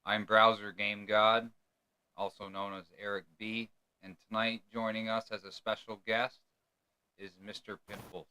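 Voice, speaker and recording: A man's voice: lowish voice, level tone, measured pace, sharp tone, clear, resonant tone, rough recording. A man from New York hosting a casual podcast interview, often stumbling and repeating words as he asks his questions. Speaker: podcast host.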